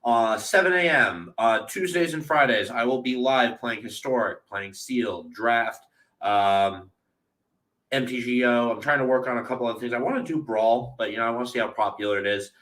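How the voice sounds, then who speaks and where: A man's voice: mid-range voice, moderate pace, a bit bright. A man co-hosting a casual podcast, dropping wry, teasing quips. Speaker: podcast co-host.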